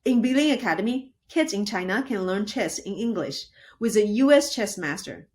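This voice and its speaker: Earnest woman founder giving a pitch. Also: lively intonation, moderate pace, darkish tone. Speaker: founder and CEO of a language school. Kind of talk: rehearsed pitch presentation.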